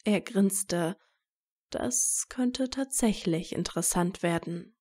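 Woman reading a story, slow pace, soft mellow tone, mid pitch. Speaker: narrator. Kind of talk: scripted fan fiction narration.

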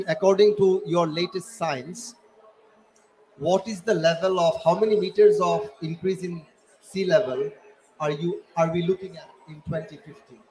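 Guy from bangladesh asking a question from the audience, slightly higher voice. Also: steady tone, unhurried, a little mellow, low-quality recording.

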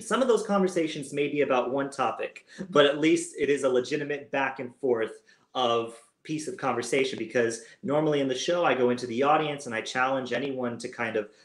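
A man's voice: medium pitch, a bit bright. A young American man, a guest performer on a video call, answering interview questions in an upbeat, expansive way. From United States. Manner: upbeat, expansive, gestures while talking. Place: home room with world map on wall. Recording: roomy video call audio.

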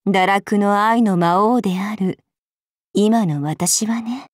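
A woman's voice: medium pitch, slow pace, no echo, clean studio sound. A woman voicing an aloof, languid adult demon goddess in an anime game, composed, polite and faintly sardonic. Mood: cool, musing. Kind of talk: Japanese anime game character lines.

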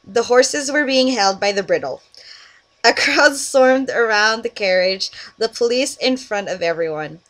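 A woman's voice: mid-range voice, fairly bright tone. A young Canadian woman on a headset mic in her bedroom, chatting casually through a list of words and questions, often giggling. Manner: giggly, chatty, breaks off to scold friends on a call. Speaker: vlogger doing an accent meme. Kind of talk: casual webcam vlog. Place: small bedroom indoors. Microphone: headset microphone.

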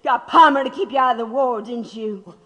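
A woman's voice: a little high, fairly quick, a bit bright, close to an everyday voice. A woman in an audio drama playing a fierce, defiant revolutionary mother, scornful and combative. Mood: cutting, threatening. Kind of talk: dramatic audio play acting. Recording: long hollow reverb.

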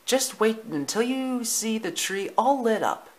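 Guy doing a sweet mama dog from a storybook, high voice, eager and reassuring. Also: lively intonation, measured pace. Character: excited, gentle female dog, loving mother of puppies.

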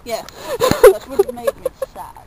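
Giddy high-voiced young woman. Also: measured pace, creaky, a little hiss or hum, low-quality recording. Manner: playful, goofy, excitable. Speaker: friend of the vlogger. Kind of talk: casual chat. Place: outdoors on a small-town street.

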